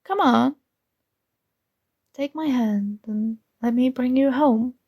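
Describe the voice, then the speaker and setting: A woman's voice: high-pitched, husky, no room sound, muffled recording, exaggerated voice. A woman playing a possessive, sweetly controlling princess. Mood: coaxing. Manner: soft, slow, coaxing and teasing. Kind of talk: ASMR roleplay.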